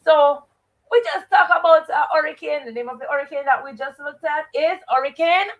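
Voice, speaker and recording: High-voiced Jamaican woman teaching live. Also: fairly quick, phone-quality sound. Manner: expressive, emphatic, repeats rhetorical questions and stock phrases. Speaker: teacher and host of a live read-aloud show. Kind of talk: interactive live lesson, questioning listeners.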